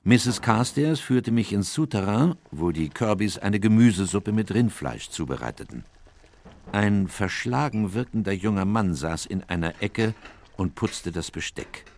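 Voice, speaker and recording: A man playing a composed Victorian gentleman doctor who narrates a detective case in a scripted radio crime drama. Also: on the low side, expressive, a little slow, creaky. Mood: composed, reflective. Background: music.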